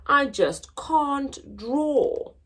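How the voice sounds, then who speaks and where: A woman's voice: high-pitched, animated, drawn-out words, fairly bright tone, pitched above the performer's own voice, exaggerated voice. A woman voicing a discouraged but stubborn young girl in art class from a storybook, blunt and emphatic. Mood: sullen.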